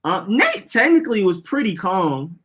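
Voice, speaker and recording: A man's voice: high-pitched, very animated, normal speed, fairly bright tone, breathy edge. A young man with an Atlanta accent, co-hosting a podcast and telling loose, slangy stories in casual chat. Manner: loose, rambling storytelling with slang. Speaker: podcast co-host.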